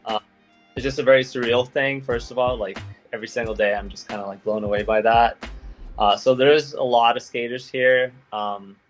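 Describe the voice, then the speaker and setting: A man's voice: mid-range voice, steady tone, a little fast, faint music behind, poor audio. A young man from Belgium, a guest skater talking in a loose, laid-back way with lots of fillers. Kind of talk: casual interview chat.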